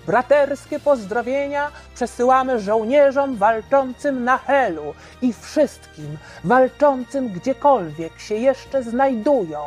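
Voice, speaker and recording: Woman as solemn, slow wartime radio announcer, high-pitched. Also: darkish tone. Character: solemn wartime Warsaw radio announcer giving the last broadcast. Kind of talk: 1930s radio announcement acting.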